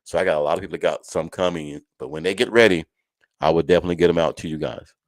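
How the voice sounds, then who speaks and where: A man's voice: deep voice, rapid-fire, no room sound. A man hosting a college football show in a casual, folksy, conversational way, speaking to listeners like friends. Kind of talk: casual live sports chat.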